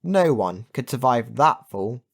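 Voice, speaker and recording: A man's voice: mid-range voice, expressive, a little slow, creaky, pitched above the performer's own voice. A man voicing a bold, cheeky young girl in a children's audiobook, quipping and exclaiming. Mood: wry.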